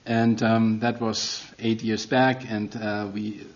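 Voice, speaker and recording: A man's voice: lowish voice, little pitch movement, slow, dull audio. A middle-aged man with a German accent preaching a sermon as a guest, earnest and self-deprecating, with non-native phrasing.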